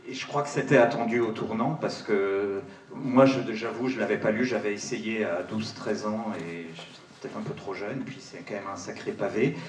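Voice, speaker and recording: Lowish, tentative man in a roomy hall. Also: normal speed. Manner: tentative, colloquial, self-doubting. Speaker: voice actor on a panel. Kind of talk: casual panel chat. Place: large cinema auditorium. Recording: echoey hall, distant mic, faint noise.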